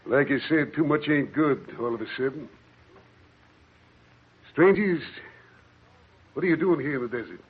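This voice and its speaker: An actor playing a cautious desert cabin dweller with a husky drawling voice, on an old radio drama. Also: male voice, fairly high pitch, normal speed, long pauses. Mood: wary. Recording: tinny old radio broadcast.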